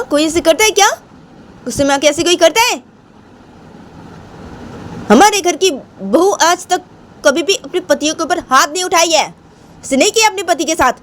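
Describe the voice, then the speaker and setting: A woman voicing an elderly grandmother who scolds her daughter-in-law, nagging and repeating herself in a shrill tone. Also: expressive, moderate pace, long pauses, fairly bright tone. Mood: indignant.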